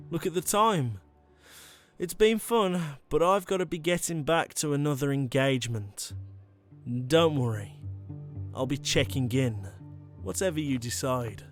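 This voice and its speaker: A man's voice: medium pitch, a bit bright. A man playing an enigmatic former vampire turned hunter in an audio roleplay, speaking in a coolly conversational, teasing way. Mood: playful menace. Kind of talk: ASMR audio roleplay drama. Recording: music bed behind the voice.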